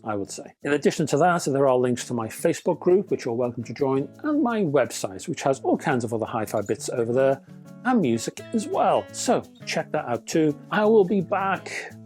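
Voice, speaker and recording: A man's voice: fairly high pitch, darkish tone. A man hosting a hi-fi news roundup, reading product specs in a scripted way with dry, wry asides and chatty sign-offs to his viewers. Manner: dry, wry humor between spec readouts; chatty, addresses viewers as folks. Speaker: hi-fi news channel host.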